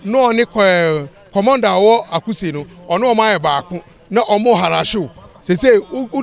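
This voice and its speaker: A middle-aged Ghanaian man gives an impassioned interview to the press outdoors. His voice is high for a man, and he slips English phrases into his talk. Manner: mixes in English words and phrases. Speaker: community interviewee. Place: outdoors in an open street area. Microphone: handheld press microphones and phones.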